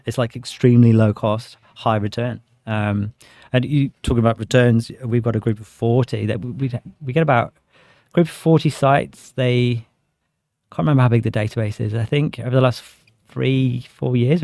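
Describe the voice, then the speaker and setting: A man's voice: medium pitch, steady tone, normal speed, gravelly, warm tone, no echo, studio quality. A man explaining a product conversationally as an interview guest, with enthusiasm and everyday examples. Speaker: interview guest, sales director.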